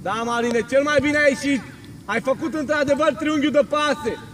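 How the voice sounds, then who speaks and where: Guy coaching football, really high voice, yelling quick orders and praise, bit of outdoor noise. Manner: loud, urgent, barking short commands. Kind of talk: shouted drill instructions and praise at training. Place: outdoors on a football training pitch.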